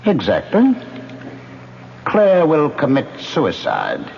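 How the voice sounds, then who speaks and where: A man's voice: on the high side, huge pitch swings, slow pace, creaky, slight background noise, heavily acted. An actor playing a smug, sinister male ghost in an old radio mystery play, coaxing his victim into a murder plot. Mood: scheming. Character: smug scheming male ghost who speaks unseen. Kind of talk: 1970s radio drama acting. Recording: muffled old radio broadcast.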